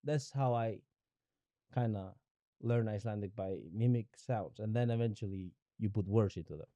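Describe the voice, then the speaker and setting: Mellow-voiced man, searching and thoughtful. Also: fairly low pitch, moderate pace, no echo, muffled recording. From Ecuador. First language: Spanish. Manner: searching, with frequent restarts and repeated words. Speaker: podcast guest. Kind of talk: reflective conversational interview.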